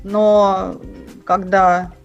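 A woman guest in an interview, speaking quietly and hesitantly with many fillers. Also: medium pitch, level tone, extremely slow, dull audio, faint music behind. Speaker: guest, refugee aid coordinator. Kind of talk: interview answers.

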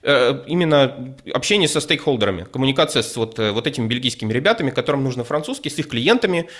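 A man talks through his story as a guest. He hesitates often, fills pauses, restarts phrases and picks his words with care. His pitch sits in a middle range. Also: animated, fairly bright tone. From Ukraine. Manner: hesitant, with many fillers and restarts, careful wording. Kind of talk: casual conversational storytelling.

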